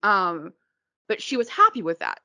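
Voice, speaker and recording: A woman's voice: mid-range voice, huge pitch swings, normal speed, gravelly, bright, forceful tone, no room sound. A woman being interviewed as an author, answering in a rambling casual way full of "like" and restarts, hiccuping now and then.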